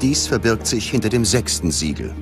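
A man's voice: lowish voice, very animated, normal speed. A man narrating a documentary from a script in a grave, dramatic manner. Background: background music.

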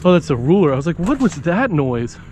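A man fishing from a boat at night, talking excitedly and swearing as he fights fish, recorded on an action camera. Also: a little high, a little fast. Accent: Floridian American. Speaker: angler filming his fishing trip. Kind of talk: excited casual fishing chatter. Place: small boat on the water at night. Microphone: action camera worn on the body. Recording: noisy outdoor action-camera audio.

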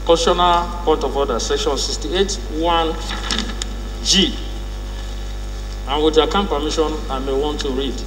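Nigerian man doing a point of order, broken deliberate phrases, big echo and faint hum behind. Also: a little high, fairly bright tone. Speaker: lawmaker, minority leader. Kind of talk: formal point of order in parliament. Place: large indoor legislative chamber. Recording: echoey hall with mains hum.

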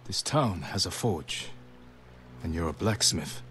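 Deep-voiced stoic samurai lord. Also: male voice, lively intonation, a little slow, a bit bright, faint music behind. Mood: grave, resolute. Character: stoic adult male samurai lord, grave and commanding. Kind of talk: dramatic video game acting. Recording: game audio with background ambience.

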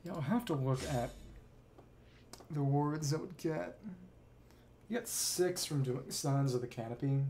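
A man's voice: a little high, measured pace, long pauses, a little mellow, a little hiss or hum. A young man streaming a video game, rambling casually and thinking aloud to himself as he plays. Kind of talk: casual gameplay commentary. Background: game music and effects.

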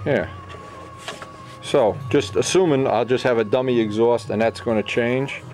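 A middle-aged man gives an informal craft demonstration at a workbench. His voice sits at an average male pitch, and he explains each step in short phrases, as if working it out aloud. Music plays behind him. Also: a little slow, darkish tone. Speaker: model airplane builder giving a tutorial. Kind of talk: informal hands-on workshop demonstration. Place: indoor workbench.

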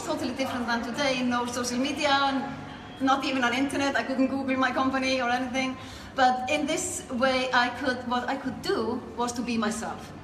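A middle-aged woman from Iceland with an Icelandic accent, telling their own story conversationally as an interview guest. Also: sits high. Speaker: network marketer being interviewed. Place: small indoor room. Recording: echoey room, clear background noise.

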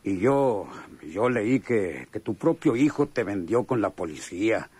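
A man's voice: on the low side, animated, measured pace, darkish tone, slightly rough, no echo. A Mexican man acting a chummy, sly, hardened convict in a radio drama, talking in slangy, hesitant phrases. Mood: chummy, curious. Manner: slangy, hesitant drawl with knowing chuckles.

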